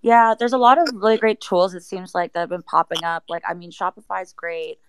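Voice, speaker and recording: A woman's voice: on the low side, fairly even intonation, a little fast, clear, resonant tone, no echo, low-quality recording. A woman in a casual panel chat, explaining things conversationally with plenty of "like" and "you know". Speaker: project team member, guest.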